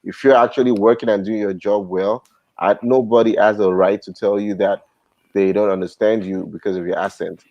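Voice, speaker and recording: Low-voiced Nigerian man, dry sound. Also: steady tone, normal speed, darkish tone, no echo, highs cut off. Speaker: panelist. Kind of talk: casual discussion.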